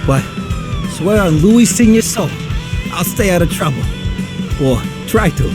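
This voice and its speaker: Breezy streetwise tough guy, highish voice. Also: animated, unhurried, music in the background. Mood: breezy, sincere. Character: scrappy, good-hearted East Coast tough guy. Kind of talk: video game cutscene monologue.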